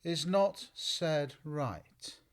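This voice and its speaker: A woman's voice: low voice, lively intonation, very slow, full character voice. A woman playing a languid, sleepy, curt and contrary caterpillar in a full-cast audiobook. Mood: contrary, disdainful.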